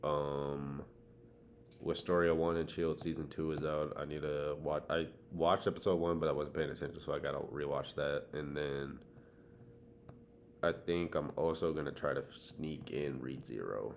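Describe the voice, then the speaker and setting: A man's voice: extremely low pitch, steady tone, normal speed, creaky. A young man co-hosting a podcast, chatting informally about shows with lots of slang and swearing. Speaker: podcast co-host. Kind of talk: casual TV and anime chat. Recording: faint background noise.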